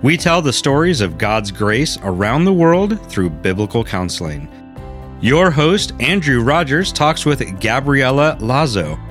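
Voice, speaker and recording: A man reading a scripted podcast intro in a measured announcer's manner. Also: mid-range voice, big pitch swings. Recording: soft guitar music behind.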